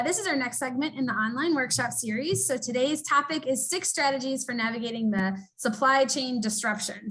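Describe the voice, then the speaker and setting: A woman with a high voice hosts an online business webinar. She talks in an upbeat, chatty way with the odd filler. She sounds like she is on a video call. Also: a bit bright. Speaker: webinar host. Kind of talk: webinar hosting.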